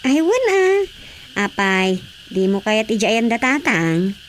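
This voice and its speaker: A woman acting as a lively, easily surprised female cousin in a comedy radio drama. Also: a little high, takes it slowly. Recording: faint background noise.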